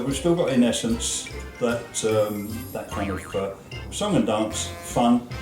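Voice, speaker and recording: A man giving halting, conversational explanations as an interviewed stage performer. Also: on the low side, recorded from a distance. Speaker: pantomime performer being interviewed. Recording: echoey room, music behind.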